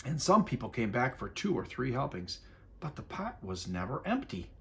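A man narrates a children's picture book with lively, expressive delivery. His pitch sits in the middle and moves around widely as the story unfolds. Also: normal speed, creaky, slight background noise. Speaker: school superintendent reading a storybook. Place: indoors at home, seated area by a window.

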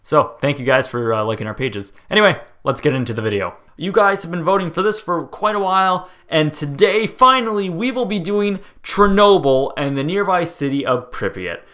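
A young American man hosting a casual documentary video, narrating over footage in a jokey, excitable way that stumbles over place names. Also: a little high, moderate pace, hardly any pauses. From United States.

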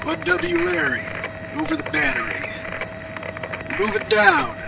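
A man playing a gruff, impatient military commander in an audio drama, curt and commanding. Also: extremely high pitch, expressive, unhurried, heavily acted. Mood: urgent, commanding. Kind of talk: amateur audio drama acting. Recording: very noisy radio-play scene.

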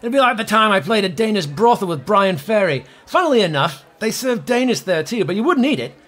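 A man's voice: high voice, big pitch swings, fairly quick, fairly bright tone, heavily acted. An actor playing a vain, needy, pompous washed-up male rock star in a comedy audio drama. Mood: boastful. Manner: pompous, self-important.

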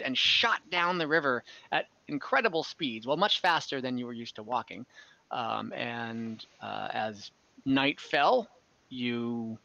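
A young man narrates a tabletop game as its game master, his voice bright and forward. He rambles casually with plenty of fillers. The sound is dry and a little dull, like a headset on a video call. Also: mid-range voice, no room sound. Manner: relaxed, rambling, frequent fillers and laughs. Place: home room on a video call.

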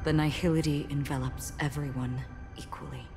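An actress playing a mysterious, solemn woman warrior in a video game, speaking in a calm, grave and poetic way. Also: low-pitched, normal speed. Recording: game audio under loud music.

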